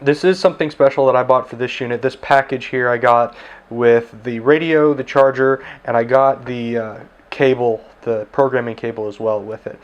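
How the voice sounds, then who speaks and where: A man's voice: medium pitch, steady tone, moderate pace, muffled recording. A man giving a casual, easygoing review of a handheld radio at his desk, with plenty of filler words. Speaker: tech reviewer, ham radio operator. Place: indoors at a desk.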